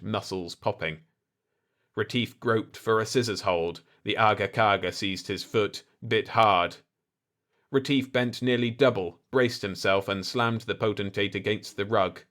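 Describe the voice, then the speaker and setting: A man's voice: on the low side, a bit bright. A man reading a scripted fiction story aloud as an audiobook narrator.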